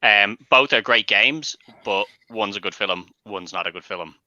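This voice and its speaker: A man's voice: fairly low pitch, measured pace, sharp tone, dry recording, dull audio. A man giving his opinions casually as a panellist in an informal film debate.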